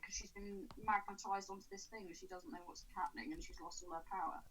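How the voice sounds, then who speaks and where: A woman's voice: medium pitch, steady tone, fast talker, a bit bright, slight background noise, poor audio. A young English woman co-hosting a podcast, talking bluntly and swearing freely in casual chat. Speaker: podcast co-host.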